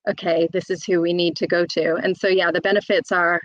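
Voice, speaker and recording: Woman solicitor, thirties, lowish voice, chatty and candid, dry close recording. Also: level tone, a little fast, no echo, dull audio. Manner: open, chatty, frequent fillers and self-restarts. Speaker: guest, self-employed employment solicitor. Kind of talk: candid conversational interview.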